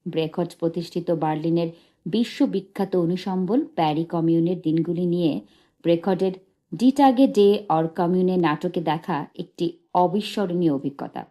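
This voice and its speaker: A woman reading a memoir as an audiobook narrator, in a measured, literary storytelling delivery. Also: on the low side, warm tone.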